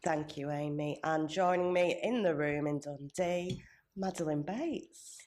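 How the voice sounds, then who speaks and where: A woman's voice: low voice, unhurried, darkish tone. A woman in her thirties with a cold, chairing a conference panel in a friendly, chatty way, with many ums. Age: 30s. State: has a cold, sniffly. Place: conference venue.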